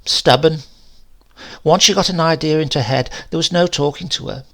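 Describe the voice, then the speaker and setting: A man's voice: a little high, normal speed, lightly acted. A man voicing a chatty, defensive landlady of nearly seventy in a horror story reading, rambling and confiding in colloquial phrases. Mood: gossipy, insistent. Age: older.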